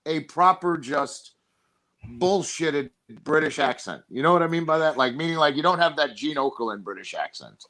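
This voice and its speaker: A man's voice: on the high side, expressive, moderate pace, a bit bright. A man hosting a casual podcast, rambling through long tangents and swearing freely.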